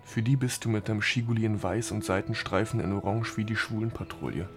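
A man's voice: on the low side, fast talker, over music, close to an everyday voice. An actor playing a blunt, sardonic man in radio drama dialogue.

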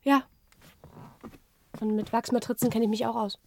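A woman playing an earnest young woman, shy yet quietly brave, in a radio drama. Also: a little high, steady tone, normal speed, creaky, a little hiss or hum. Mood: keen, competent.

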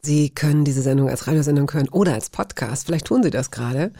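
A woman's voice: sits low, animated, quick pace, dark tone, husky, dry recording. A woman hosting a radio interview show, chatty and playful, joking at her own expense. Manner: playful, chatty, self-ironic. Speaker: radio host. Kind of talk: radio interview and station announcement. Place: radio studio. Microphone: studio microphone.

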